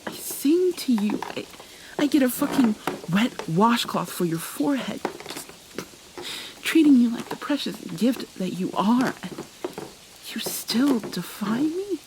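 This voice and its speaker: A woman playing a possessive yandere captor in an ASMR roleplay, tender and menacing, coaxing with pet names in a soft, breathy voice. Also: a little high, big pitch swings, a little slow, background noise. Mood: hurt, indignant.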